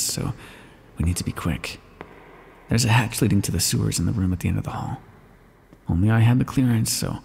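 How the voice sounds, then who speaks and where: A weary, sardonic male scientist, acted by a man, speaks guardedly in an intimate audio roleplay. His voice is husky and creaky, with dry, halting phrasing. It rings out in a long reverb. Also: fairly low pitch, darkish tone, heavily acted. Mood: urgent, hushed. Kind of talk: ASMR roleplay monologue, intimate acting.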